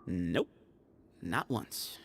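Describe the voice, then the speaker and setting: A man's voice: sits low, very animated, drawn-out words, background noise, heavily acted. A man voicing a breezy, sly young desert leader in a video game, playful and casual with a teasing edge. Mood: evasive, nonchalant.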